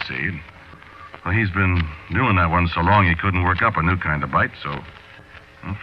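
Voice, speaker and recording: An actor playing a weary, streetwise American small-time crook in a 1940s radio drama, confiding and fatalistic, with slangy, halting, conversational delivery. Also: male voice, a bass voice. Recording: muffled 1940s radio recording.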